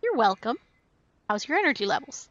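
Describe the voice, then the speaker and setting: High-voiced woman streaming a video game. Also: very animated, brisk, fairly bright tone, highs cut off. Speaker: game livestreamer. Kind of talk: casual livestream chat while playing.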